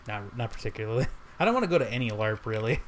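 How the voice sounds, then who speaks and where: A man's voice: fairly low pitch, sing-song, quick pace, a little hiss or hum. A man co-hosting a casual podcast, joking around and laughing often. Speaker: podcast co-host. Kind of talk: casual chat.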